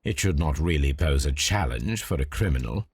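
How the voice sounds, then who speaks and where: Casting: deliberate game detective, low husky voice, precise and deductive delivery. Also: male voice, expressive, a little mellow, no echo, full character voice. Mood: analytical, composed. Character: analytical male detective, composed and deliberate. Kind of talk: video game cutscene acting, musing aloud.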